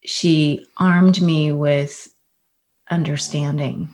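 A woman from California, a podcast guest, speaking earnestly and reflectively about her family and slowing down to stress key points. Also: low voice, steady tone, darkish tone. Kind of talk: personal storytelling in conversation.

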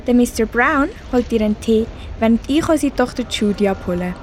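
A kind, decisive mother in a radio play acted by a female amateur. Her voice is high, with a Swiss German accent, over faint background noise. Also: expressive, fairly quick, lightly acted.

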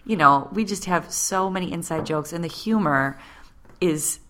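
A woman's voice: lowish voice, moderate pace, fairly bright tone, a little hiss or hum. A woman in her late thirties co-hosting a podcast, chatting casually with frequent fillers. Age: 30s. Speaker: podcast co-host. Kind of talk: casual conversation.

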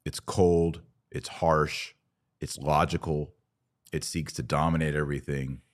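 A man's voice: very deep voice, steady tone, measured pace. A man hosting a podcast, giving conversational religious commentary in an emphatic, argumentative way with rhetorical questions. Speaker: podcast host.

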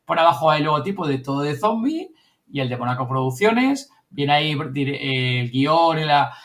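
A man's voice: fairly high pitch, animated, moderate pace, fairly bright tone. A man from Spain with a Spanish accent, chatting casually and colloquially as a podcast host, rambling as he goes. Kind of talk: casual chat recounting events.

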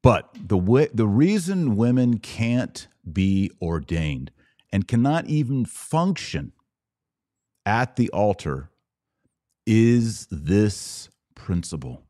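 Slow, emphatic man with very animated pitch. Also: dry recording, studio quality. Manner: emphatic, deliberate, with dramatic pauses and repetition. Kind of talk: sermon-like explanatory monologue.

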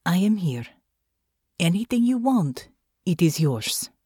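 A woman voicing a calm, gentle lady's maid who speaks softly and carefully. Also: lowish voice, slow, husky, no echo, clean studio sound, full character voice. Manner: soft, gentle, plain careful phrasing.

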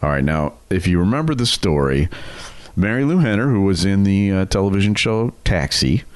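A man's voice: low voice, expressive, normal speed, no echo. A middle-aged man co-hosting a podcast, chatting casually and telling stories. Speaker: podcast co-host. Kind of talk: casual chat and storytelling.

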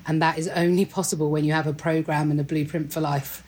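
A woman's voice: low-pitched, level tone, fast, darkish tone. A woman from Great Britain with a British accent, talking as a guest in a conversational interview.

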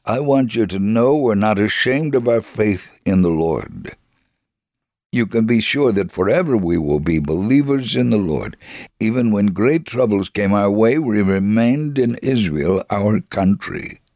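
A man reading a first-person testimony as scripted narration, measured and gravelly, acting out the dialogue as he goes. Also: fairly low pitch, lively intonation, a little mellow, no room sound, studio quality. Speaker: story reader.

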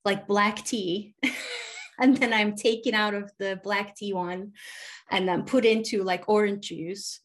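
A woman's voice: mid-range voice, fairly even intonation, slow. A woman from Turkey hosting an interview, asking long, curious questions while searching for her words. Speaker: podcast host.

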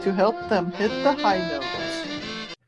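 A woman with a medium-pitched voice reads jokes very slowly in relaxed podcast banter. Music plays clearly behind her as she co-hosts. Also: poor audio. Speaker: podcast co-host. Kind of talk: reading jokes in casual banter.

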